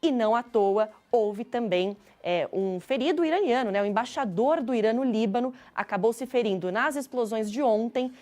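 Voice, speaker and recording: A woman's voice: fairly high pitch, normal speed, hardly any pauses, a little mellow. Brazilian woman reporting live news.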